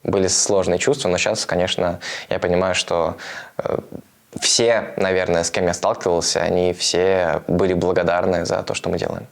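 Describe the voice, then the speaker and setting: A man from Moscow talks in a low voice during a documentary interview. He sounds thoughtful and hesitant, pausing and filling gaps as he searches for words. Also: level tone, creaky. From Moscow, Russia. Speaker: volunteer interviewee.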